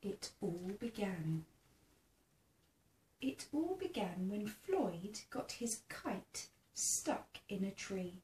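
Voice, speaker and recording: A woman reading a children's picture book aloud in a theatrical, expressive way, engaging young listeners. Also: medium pitch, drawn-out words. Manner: asks the listening child questions. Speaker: storybook reader. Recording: roomy sound with faint background noise.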